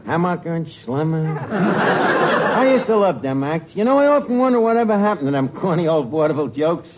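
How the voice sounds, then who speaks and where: A man's voice: on the high side, big pitch swings. A man playing a wisecracking, unlettered tavern keeper in a radio comedy, with a New York accent and colloquial delivery. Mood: wry, chatty. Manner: colloquial, mangled grammar, drawn-out quips. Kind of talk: 1940s-50s radio comedy acting. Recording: muffled, very noisy old radio, audience.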